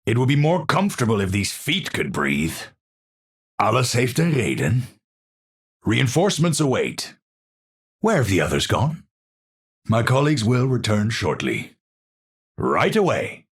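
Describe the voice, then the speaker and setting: An actor playing an eccentric, unstable scientist in a video game, with a Dutch accent, husky voice and theatrical, formal scientific phrasing. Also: male voice, mid-range voice, huge pitch swings, measured pace, long pauses, heavily acted. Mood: polite, musing. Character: eccentric, unstable male scientist, lofty and absent-minded. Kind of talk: video game character voice lines.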